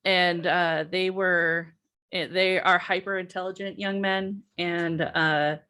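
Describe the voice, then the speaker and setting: A woman's voice: fairly low pitch, level tone, slow pace, pushed and bright, clear, resonant tone. A woman in her forties, a podcast host, speaking casually in a direct, matter-of-fact way. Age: 40s.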